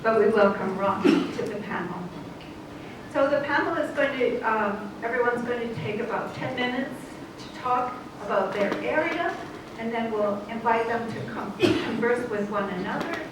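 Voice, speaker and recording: A woman's voice: fairly high pitch, lively intonation, moderate pace. A woman moderating a conference panel, introducing the speakers in a conversational way. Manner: warm, conversational, some restarts. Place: conference hall. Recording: very echoey hall, distant, noisy.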